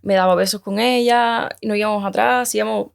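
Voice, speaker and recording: A woman from Cuba in her thirties, telling her personal story as a guest in a casual conversation, halting and hesitant with many restarts. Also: medium pitch, fairly even intonation, vocal fry, smooth.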